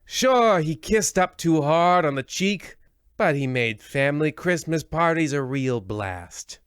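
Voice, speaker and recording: A man's voice: a little high, lively intonation, slow pace, fairly bright tone, clear, resonant tone, no echo, studio quality. A man playing a half-hearted horror anthology radio host, his formal patter slipping into offhand grumbling. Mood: offhand, bemused. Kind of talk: old-time radio horror host spoof.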